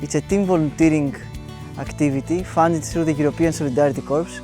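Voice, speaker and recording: A man explaining a volunteer project he coordinates, earnest, pausing with fillers. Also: fairly high pitch, warm tone, no echo. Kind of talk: documentary interview. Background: guitar music.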